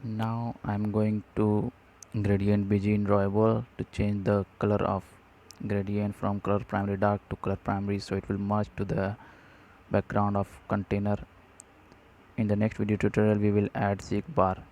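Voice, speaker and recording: A man slowly talking through app-building steps in a coding tutorial, dictating each step with short pauses and fillers. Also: fairly low pitch. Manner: slow, flat dictation of code steps with "uh" fillers. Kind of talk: screen-recorded coding walkthrough.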